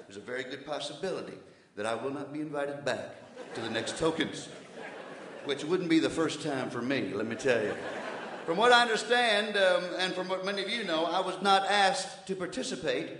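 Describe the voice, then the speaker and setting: High-pitched blustering preacher played by a man, echoey hall. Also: natural voice. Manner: emphatic pulpit delivery, swinging from muttered asides to hollering. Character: blustering, indignant old-fashioned revival preacher, male. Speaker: comic preacher on a variety stage show. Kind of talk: comic mock sermon to a live audience. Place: large indoor theatre stage before an audience. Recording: echoey hall, live stage, audience noise.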